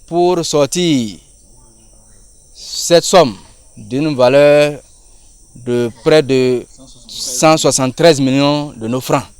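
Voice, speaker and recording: A man, a local official giving a public speech in halting, measured phrases. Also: on the high side, smooth, dry recording.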